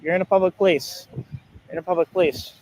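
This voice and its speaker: High-voiced, insistent man confronting someone outdoors. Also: darkish tone, low-quality recording. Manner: insistent, repetitive, halting. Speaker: citizen investigator confronting a stranger. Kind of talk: on-the-street confrontation interview. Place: outdoors in a public place. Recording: outdoor recording with faint ambient noise.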